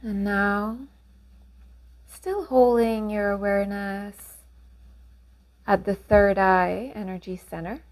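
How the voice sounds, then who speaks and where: A woman guiding a meditation, calm and unhurried, giving gentle instructions with long pauses. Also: medium pitch, creaky. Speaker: meditation guide. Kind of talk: guided meditation narration.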